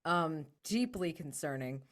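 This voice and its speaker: Low-voiced woman, wry tabletop player. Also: lively intonation, a little slow, a bit bright. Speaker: player in a tabletop roleplaying game. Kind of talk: casual in-game chat.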